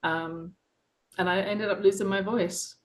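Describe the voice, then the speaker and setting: A woman's voice: medium pitch, steady tone, measured pace. Middle-aged London-accented woman author, earnest and passionate, telling her own story as a guest. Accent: London English. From England. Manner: earnest, passionate, with soft laughs and frequent ums. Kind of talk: reflective personal interview.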